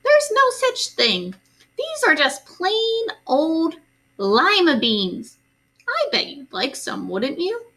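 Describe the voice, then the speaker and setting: Woman putting on a sweet, unhurried old lady. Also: very high voice, huge pitch swings, pitched above the performer's own voice, full character voice. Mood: kindly, coaxing. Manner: bright, gentle, unhurried. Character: kind, sweet old woman offering lima beans.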